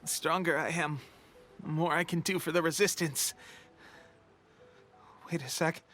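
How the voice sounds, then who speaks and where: Young soldier in a game, worn out and coughy, slow, kinda high voice, trying to sound cheerful. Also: male voice, fairly even intonation, sharp tone, faint music behind. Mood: weary but eager. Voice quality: weak, breathy, coughing. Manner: halting, earnest, putting on brave cheer. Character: eager young resistance soldier, weakened and fading. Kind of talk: video game voice acting.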